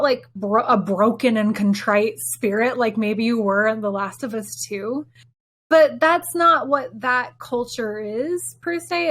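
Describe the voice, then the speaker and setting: A woman with a slightly high-pitched voice talks casually as a podcast co-host. She rambles through stories about games, slipping into quoted asides as she tells them. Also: a bit bright.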